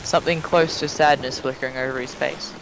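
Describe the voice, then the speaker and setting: A man's voice: mid-range voice, fairly even intonation, a little fast, background noise. A man reading fan fiction aloud dramatically as a streamer, dropping into casual chat, tripping on words and swearing offhandedly.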